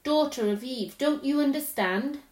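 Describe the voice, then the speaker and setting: A woman voicing a sorrowful, guilt-ridden male faun in a storybook, gentle and weepy, with a mournful, sobbing manner. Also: high-pitched, a little slow, echoey room, pitched above the performer's own voice. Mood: anguished confession. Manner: sobbing, self-reproachful, mournful.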